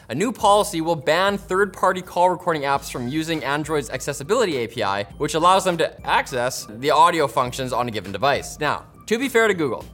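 A man's voice: a little high, a bit bright. A man hosting tech news, reading a script with playful, sarcastic asides.

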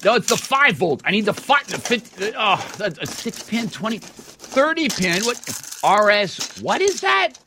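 A man's voice: sits high, sing-song, a little slow, background noise, voice pushed up, full character voice. A man playing a flustered, exasperated gadget owner muttering in scattered bits in a radio skit.

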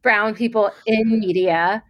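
A woman's voice: mid-range voice, steady tone, takes it slowly, lo-fi sound. A woman from Los Angeles, an artist and activist, speaking casually and reflectively in an interview. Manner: casual, reflective, with self-corrections.

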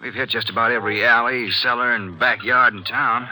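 A man's voice: medium pitch, steady tone, fairly quick, sharp tone, rough recording. An actor playing a folksy, plainspoken Texas Ranger sidekick in a 1950s radio western, casual and clipped in his talk. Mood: puzzled, casual. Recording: old radio recording with faint noise.

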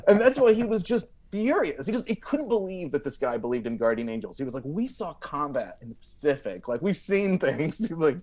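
A man telling comedic stories as a podcast guest, casual and animated, acting out quotes as he goes. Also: fairly high pitch, brisk, few pauses. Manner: animated, acts out quotes with frequent like and you know.

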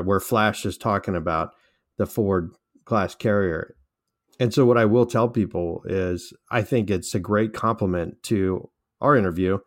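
Friendly low-voiced podcast host man. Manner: friendly, upbeat interviewer who laughs easily. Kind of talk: casual podcast chat.

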